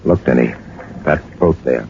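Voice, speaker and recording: Through heavy noise on a muffled old radio recording comes a commanding adventurer captain. He is cool under threat and gives crisp orders in the manner of 1940s radio adventure. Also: very deep voice, normal speed. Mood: hushed, purposeful. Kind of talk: 1940s radio drama acting.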